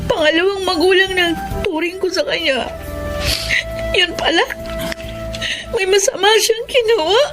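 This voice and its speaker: High-pitched earnest young Filipina. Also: female voice, moderate pace, long pauses, over music, poor audio. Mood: sorrowful, reflective. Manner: earnest, emotional. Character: earnest, heartbroken young niece telling her story. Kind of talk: melodramatic Filipino radio drama acting.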